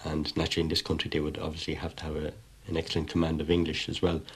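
Deep husky father. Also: completely flat delivery, rapid-fire. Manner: reflective, with occasional uhs. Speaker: father interviewed about raising bilingual children. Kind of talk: radio documentary interview.

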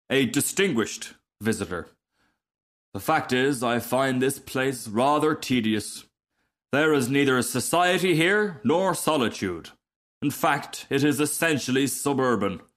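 A man's voice: medium pitch, a bit bright. Man voicing a pompous, haughty storybook firework rocket. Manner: pompous, drawn-out grand pronouncements. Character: haughty, self-important male firework rocket, pompous and vain. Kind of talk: storybook character reading.

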